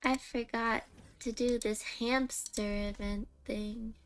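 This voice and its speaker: A woman's voice: fairly high pitch, fairly even intonation, takes it slowly, slight background noise. A woman hosting a livestream, chatting casually in a cutesy manner. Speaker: livestream host, game streamer. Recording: background music under voice. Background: music.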